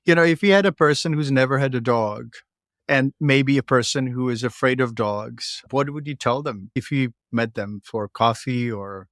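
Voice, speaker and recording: A man's voice: mid-range voice, normal speed, a bit bright, no room sound, clean studio sound. A man from the Czech Republic with a Czech accent, hosting a casual conversational interview podcast. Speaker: podcast host.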